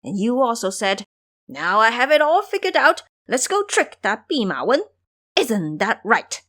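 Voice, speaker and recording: A woman voicing a mischievous, sharp-tongued male monkey disciple in an audio drama, taunting and bossy. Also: a little high, big pitch swings, unhurried, pitched above the performer's own voice, heavily acted. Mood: mocking. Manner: taunting, scolding.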